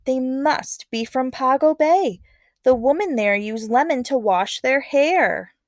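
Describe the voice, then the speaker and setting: A woman's voice: high voice, expressive, slow, no echo, pitched above the performer's own voice, full character voice. A wise, elderly village leader as a woman storyteller plays her. She speaks with urgency and command, like the elder of a storybook tale. The sound is close and dry, with no room on it. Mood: explaining. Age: older. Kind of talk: storybook read-aloud character.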